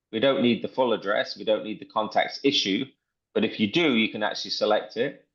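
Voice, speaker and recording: A man presenting a software demo in an informal, step-by-step tutorial style. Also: fairly low pitch, muffled recording. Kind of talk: casual screen-share tutorial walkthrough.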